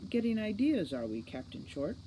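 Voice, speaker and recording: A man's voice: high-pitched, very animated, normal speed, background noise, pitched above the performer's own voice. A man voicing a smug, cold, precocious boy criminal mastermind in an audiobook, with formal, sardonic condescension. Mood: smug menace. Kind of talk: audiobook character reading.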